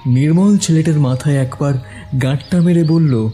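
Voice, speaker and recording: A man's voice: a little high, moderate pace, warm tone, soft background music. A man narrating a scripted horror story as its storyteller.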